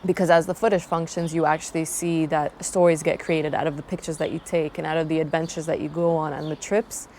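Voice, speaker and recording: Low-voiced young woman from Croatia. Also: level tone, a little fast, few pauses, a little mellow, a little hiss or hum. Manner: thoughtful, rambling, restarts often. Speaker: travel vlogger. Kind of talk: casual self-introduction to camera.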